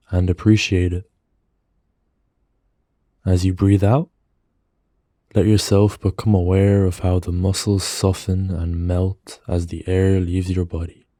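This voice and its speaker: A man narrating a guided meditation in a soothing, gentle manner, with measured phrases and pauses, close on the mic. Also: deep voice, no echo.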